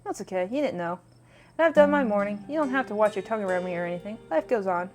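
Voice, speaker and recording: A woman's voice: medium pitch, lively intonation, fast talker, a little mellow, close to an everyday voice. A woman reading a visual novel's friendly small-town woman, chatty, curious and earnest. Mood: earnest, warm.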